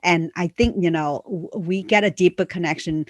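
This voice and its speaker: A woman's voice: on the low side, moderate pace, no room sound. A woman coach and memoir author talking casually and earnestly, with non-native English phrasing, many fillers, and a first language that is a Chinese dialect. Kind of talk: casual conversational promotion.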